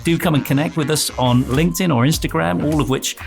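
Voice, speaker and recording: A man's voice: fairly high pitch, quick pace, vocal fry, soft background music. A middle-aged or older man hosting an interview podcast, chatting with a guest and delivering sign-offs. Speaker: podcast host.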